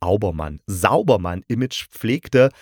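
Low-voiced man, chatty podcast critic. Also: big pitch swings, unhurried, a little mellow, husky, no echo, studio quality. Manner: conversational, explanatory, addressing listeners directly. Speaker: podcast host and radio drama critic. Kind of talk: chatty solo podcast review.

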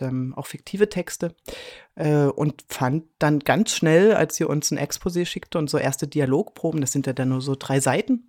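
Low-voiced woman explaining in an interview. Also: a little mellow, dry recording, studio quality. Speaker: radio drama director and dramaturge. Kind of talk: interview answers, casual storytelling.

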